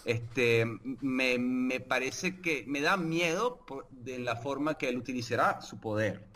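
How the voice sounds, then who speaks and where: A man whose first language is Italian, debating casually with many pauses, fillers and restarts. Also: medium pitch, a bit bright.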